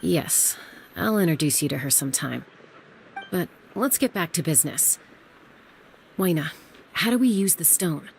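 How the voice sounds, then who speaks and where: A woman's voice: low voice, expressive, moderate pace, gravelly, pauses often, slight background noise, rough recording. A woman voicing a cool, businesslike peacekeeper in a video game, direct and self-assured. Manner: direct, composed.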